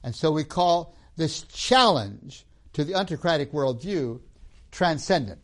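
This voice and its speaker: Male lecturer from Tanzania, slightly high, animated delivery, storytelling style. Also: unhurried. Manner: storytelling with tag questions, acts out scenes. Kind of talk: lecture on religion and myth.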